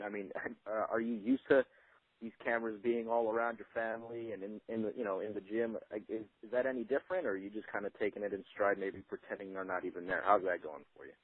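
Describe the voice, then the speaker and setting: A male reporter with a slightly low, rough-edged voice puts casual questions over the phone. The line is thin and dry. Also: fairly even intonation, quick pace, no echo. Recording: tinny narrow phone line.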